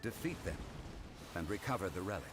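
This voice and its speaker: A man voicing a calm, formal male god bound by a contract, speaking in measured, composed tones in a game with loud music behind. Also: low-pitched. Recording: game audio under music.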